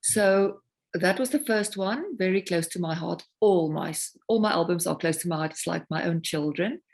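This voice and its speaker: A South African woman with Afrikaans as her first language talks through her music as an interview guest. Her voice sits low and carries a gravelly texture. She speaks reflectively and takes her time finding the right words. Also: normal speed, a little mellow. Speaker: singer-songwriter guest. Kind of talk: casual interview conversation.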